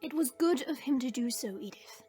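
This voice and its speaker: Dragon princess for audio drama, female actor: high voice, regal and formal, protective, music underneath. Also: lively intonation, a little fast, mellow, husky, heavily acted. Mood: solemn, reassuring. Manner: formal, stately phrasing. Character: powerful fire-wielding dragon princess, formal, proud, protective.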